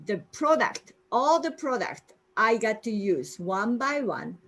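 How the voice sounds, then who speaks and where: A woman's voice: fairly high pitch, sing-song, slow pace. A woman in her sixties with a Japanese accent, earnestly telling her personal story on a video call. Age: 60s. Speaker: guest speaker sharing her story.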